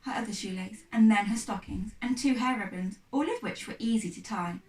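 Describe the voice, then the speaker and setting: A woman's voice: mid-range voice, brisk, a little mellow. Woman reading a storybook, echoey room. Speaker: teacher reading aloud. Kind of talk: children's storybook narration. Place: echoey room, away from mic. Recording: echoey room, distant mic.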